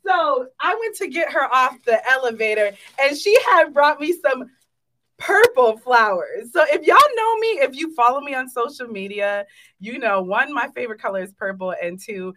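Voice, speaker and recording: A woman's voice: sits high, expressive, moderate pace, a bit bright. A woman in her thirties hosting a podcast, talking in an upbeat, chatty and emphatic way. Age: 30s. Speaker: podcast host. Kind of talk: casual podcast chat. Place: indoor recording space.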